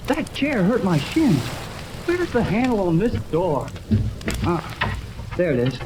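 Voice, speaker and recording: Thirties radio adventure, excitable boyish wireless kid, eager and slangy, noisy echoey old recording. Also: male voice, sits high, slow pace, exaggerated voice. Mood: excited, anxious. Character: eager, curious boyish young radio operator. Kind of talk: 1930s radio serial acting.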